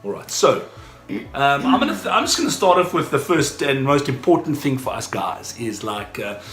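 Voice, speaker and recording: A man's voice: medium pitch, big pitch swings, moderate pace, a bit bright. A man chatting casually on a couple's vlog, blunt and crude, rambling as he makes his points. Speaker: couple vlogger. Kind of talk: casual chat. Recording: echoey room with background music.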